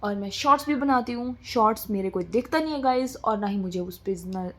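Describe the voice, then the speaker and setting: A woman's voice: fairly high pitch, lively intonation, fairly quick. A young woman vlogging in casual, rambling chat, often switching between Hindi and English.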